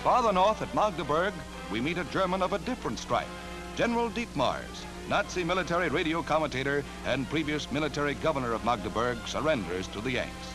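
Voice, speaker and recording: An American man reads a 1940s newsreel script in a dramatic, declamatory way. His voice sits a little high and swings with lively emphasis. Loud music plays behind him on the archival soundtrack. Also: brisk, low-quality recording. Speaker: newsreel narrator. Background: loud orchestral newsreel music.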